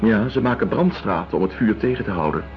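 An actor playing a weary, wistful Russian researcher in a radio drama, speaking in measured, reflective phrases. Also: male voice, lowish voice, expressive, close to an everyday voice. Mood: sceptical, grave. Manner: measured, pausing between short reflective phrases. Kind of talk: radio drama acting, lyrical and slow. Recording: dull lo-fi radio drama recording. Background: music.

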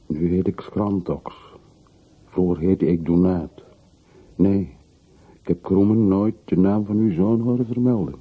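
A man's voice: low-pitched, a little slow, pauses often, husky, no echo. An actor playing a bitter, sardonic man revisiting the town of his wealthy childhood in a radio play. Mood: reserved, probing. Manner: sardonic, brooding, rhetorical. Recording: muffled, noisy old radio play recording.

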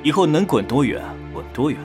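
A man's voice: on the high side, big pitch swings, fairly quick, over music. A man playing a proud, roguish male demon, devoted and teasing, with dramatic swaggering delivery in an audio drama. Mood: anguished bravado. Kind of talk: Chinese audio drama acting.

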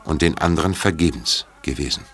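Deep-voiced male storyteller. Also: fairly quick, a bit bright, breathy edge. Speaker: narrator. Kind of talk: scripted audio drama narration. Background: music.

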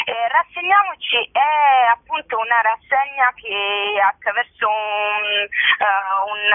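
Female phone-in guest for a casual radio interview. Halting delivery, searching for words, narrow dry phone sound. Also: mid-range voice, no echo. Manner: hesitant, searching for words with drawn-out pauses. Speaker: organizer of a theatre festival, guest. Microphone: telephone. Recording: tinny narrowband telephone line.

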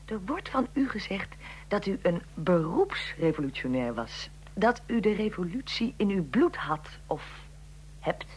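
A woman's voice: medium pitch, very animated, measured pace, darkish tone, a little hiss or hum. A woman playing a curious, sympathetic interviewer of historical figures in a radio drama.

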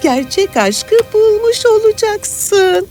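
Slow, high-voiced wise aunt. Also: female voice, sing-song, a little mellow, faint music behind, heavily acted. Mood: solemn. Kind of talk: animated fairy tale acting.

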